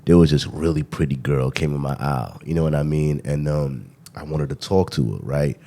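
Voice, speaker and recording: A man's voice: a bass voice, fairly even intonation, brisk, warm tone. A young man hosting a podcast in casual chat, telling loose stories and often checking in with "you know what I mean". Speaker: podcast host.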